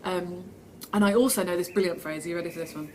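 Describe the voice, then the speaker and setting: A woman's voice: on the low side, fast talker. A woman actress giving casual, chatty interview answers in a self-deprecating way, reading the questions out herself. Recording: steady background noise.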